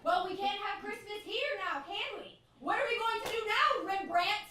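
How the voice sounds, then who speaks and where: Very high, theatrical exasperated wife. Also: female voice, lively intonation, bright, forceful tone, full character voice. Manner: theatrical, drawn-out delivery. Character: Penny, dramatic, long-suffering exasperated wife. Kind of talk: live old-time radio comedy acting. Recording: echoey distant stage recording.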